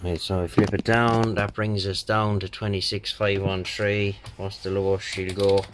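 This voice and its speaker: An Irish man talking casually through a repair job, thinking aloud in an unhurried, colloquial way. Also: fairly low pitch. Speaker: radio repair technician. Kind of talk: casual spoken bench walkthrough. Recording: steady background noise, bench clicks.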